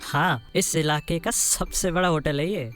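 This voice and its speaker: Helpful cartoon buddy, male performer: young, practical, slightly high voice, friendly advice over prominent music. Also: measured pace, a bit bright. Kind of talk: Hindi cartoon story acting.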